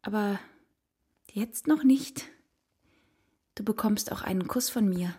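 A woman's voice: medium pitch, slow pace, warm tone, slightly rough. An actress playing an evasive, guilty mother in a children's radio play, speaking hesitantly and coaxingly. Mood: coaxing, playful. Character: evasive, guilty mother confessing to her husband.

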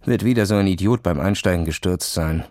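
A man's voice: deep voice, brisk, a little mellow, no room sound, clean studio sound. A man narrating a children's story and voicing an irritable, cocky schoolboy in a snappy, sarcastic way. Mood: annoyed. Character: irritable, cocky fifth-grade schoolboy, expert skier.

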